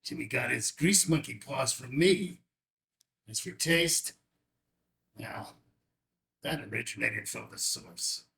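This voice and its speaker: A man acting in a table read as an older, gentle rancher and adoptive father, halting and sincere, with a gravelly voice. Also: medium pitch, exaggerated voice. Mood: warm reminiscing.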